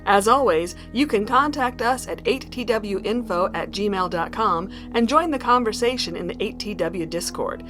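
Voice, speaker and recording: A woman's voice: mid-range voice, animated, moderate pace, hardly any pauses, a bit bright. Woman, credits announcer, scripted narration, mid-range voice, music underneath.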